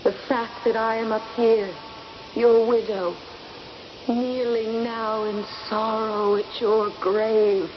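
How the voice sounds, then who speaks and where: A dull, noisy vintage radio recording carries a sorrowful, accusing widow. She speaks very slowly and solemnly, insistent and theatrical, as in a ghostly radio play. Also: a little high, flat delivery. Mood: mournful, reproachful. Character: accusing, sorrowful young widow in a funeral vision. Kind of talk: 1970s radio drama acting. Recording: muffled, noisy old radio broadcast.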